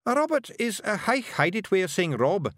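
Brief: little fairy bard, voiced by a man, high, brisk, matter-of-fact. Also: sing-song, fairly bright tone, no room sound, clean studio sound, heavily acted. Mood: explaining. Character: tiny male fairy storyteller, knowing.

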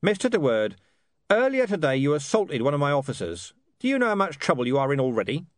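A man voicing a stern, gruff watch commander who presses hard with his questions. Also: a little high, wildly swooping pitch, quick pace, dry recording, clean studio sound, pitched above the performer's own voice. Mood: pressing.

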